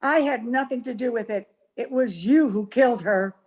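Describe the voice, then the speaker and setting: A woman's voice: a little high, moderate pace, darkish tone, husky. A woman playing a frightened, self-excusing accomplice, urgent and pleading, in a melodramatic old-time radio horror play. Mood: defensive denial.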